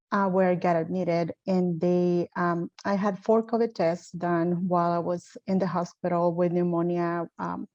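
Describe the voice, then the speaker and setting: A woman from El Salvador speaking on a panel, telling her story unhurriedly with many pauses and fillers. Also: fairly low pitch, vocal fry, a little mellow, lo-fi sound. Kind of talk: panel discussion, personal account.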